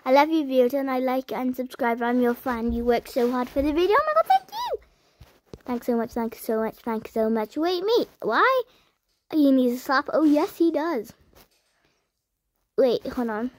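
A young girl hosting her own channel, chatting as she reads viewer comments aloud and answers them with sudden high squeals. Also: very animated, normal speed. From United Kingdom. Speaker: channel host. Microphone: phone.

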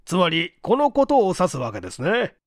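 A polite, probing male investigator, played by a male reader in a mystery audiobook. His voice is lifted and higher, with courteous, formal questioning. The recording is dry. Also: wildly swooping pitch, moderate pace, no room sound. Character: polite, probing male detective agency chief. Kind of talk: dramatic audiobook character reading.

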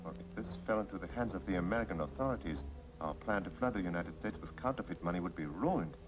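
Nazi villain, menacing, low voice, stern, measured, 1940s film serial, music underneath, vintage audio. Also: male voice, animated, rough recording. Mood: grimly calculating.

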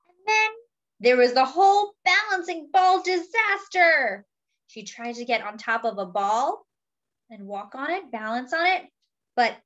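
A woman reading a picture book aloud to young children and commenting on the pictures, expressively and with pauses for emphasis. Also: high voice, lo-fi sound. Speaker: children's storybook reader.

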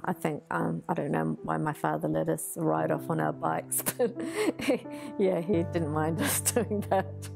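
A woman in her sixties from Greece speaks in a low, gravelly voice that barely rises or falls. She talks thoughtfully, with frequent pauses and fillers. She sits in her art studio, and soft music plays faintly beneath her. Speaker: artist interviewee. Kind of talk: reflective personal storytelling.

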